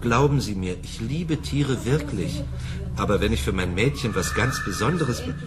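A man's voice: lowish voice, expressive, moderate pace, lightly acted. A man playing a kindly, socially awkward simpleton in a German radio drama, hesitant and earnest. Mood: eager, awkward.

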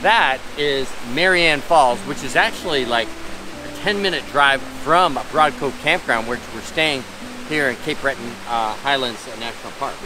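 A man's voice: medium pitch, over music, poor audio. A middle-aged man vlogging outdoors, talking casually and coaxing playfully. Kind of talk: casual travel vlog. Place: outdoors by a waterfall. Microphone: camera held at arm's length. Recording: rushing waterfall noise behind. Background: waterfall.

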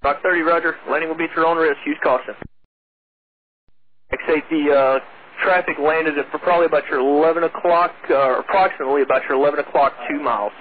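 Fast-talking male air traffic controller on radio. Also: a little high, steady tone. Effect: aviation radio. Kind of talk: radio instructions to pilots. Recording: tinny narrowband radio with static. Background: radio noise.

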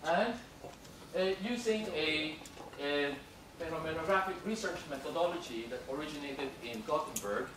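A man giving an academic lecture, halting and full of fillers, switching between English and Cantonese. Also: a little high. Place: lecture room. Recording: echoey room, distant, noisy.

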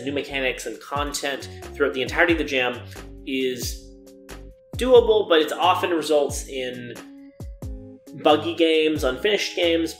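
A young man giving an informal advice lecture to camera in an earnest, emphatic way, repeating his intensifiers, recorded indoors in a living room. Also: on the high side. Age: young adult. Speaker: game jam organizer giving a keynote talk. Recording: roomy indoor echo.

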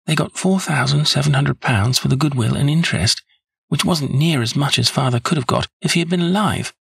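Man putting on a flustered young woman, husky and lifted. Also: on the high side, a little fast, fairly bright tone, dry recording, studio quality, pitched above the performer's own voice, heavily acted. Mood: chatty, earnest. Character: nervous, rambling, good-humoured young woman client. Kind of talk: audiobook character voice.

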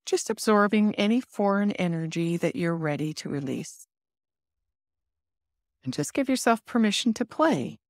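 A woman leading a guided meditation in a soothing, instructive manner with deliberate pauses. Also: lowish voice, no echo, studio quality.

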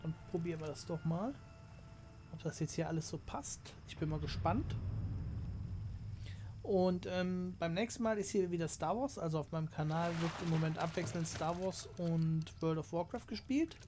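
A man hosting a gaming channel, chatting casually through his play and explaining it to viewers, his voice slightly hoarse from a cold. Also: fairly high pitch, normal speed, background noise. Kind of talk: casual let's play commentary.

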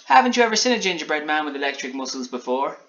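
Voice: mid-range voice, very animated, rapid-fire, fairly bright tone, dull audio, a little hiss or hum, pitched above the performer's own voice. A storybook reader voicing a tiny, eager, boastful gingerbread man who is needy and showy. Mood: friendly, hurt. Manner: eager, needy, showy, sing-song bragging.

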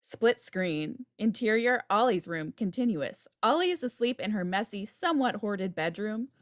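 A woman reads out script directions for a table read. Her voice sits at a middle pitch, recorded on a video call. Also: lively intonation, normal speed. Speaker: stage directions reader. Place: indoor room, video call. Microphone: video call microphone.

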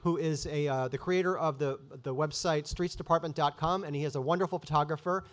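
Middle-aged male event host, fairly high voice, easygoing stage intros at a podium mic. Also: a little fast. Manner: relaxed, with fillers and restarts. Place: conference stage, indoors.

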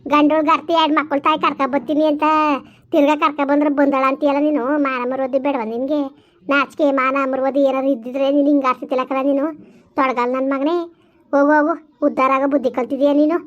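A woman doing a shrill, foul-mouthed village elder sister. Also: little pitch movement, fast talker, lo-fi sound, natural voice. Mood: scolding. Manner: berating, abusive, hectoring. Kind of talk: village family drama skit.

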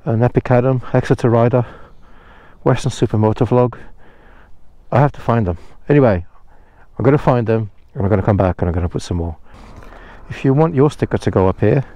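A man's voice: mid-range voice, brisk, dark tone, no echo. A man chatting casually to camera outdoors at a roadside, rambling and self-deprecating as he talks. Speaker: motorcycle vlogger. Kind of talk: casual vlog talk to camera. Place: outdoors by a roadside phone box. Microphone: head-mounted action camera.

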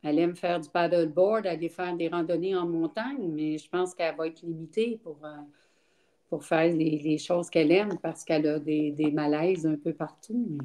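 A woman's voice: low-pitched, moderate pace, dark tone. An older woman with a Quebec French accent, speaking frankly on a video call, with many fillers. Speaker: interview guest. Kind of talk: casual testimony. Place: home room, indoors. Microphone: laptop webcam on video call.

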